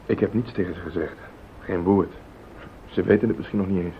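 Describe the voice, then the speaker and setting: An actor playing a rattled, evasive husband in a radio play, agitated and pleading. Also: male voice, fairly even intonation, a little fast. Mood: defensive. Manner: agitated, pleading, self-justifying. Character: rattled, evasive husband hiding a car accident. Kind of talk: Dutch radio play acting. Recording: muffled phone-like old radio recording, rumbling hiss.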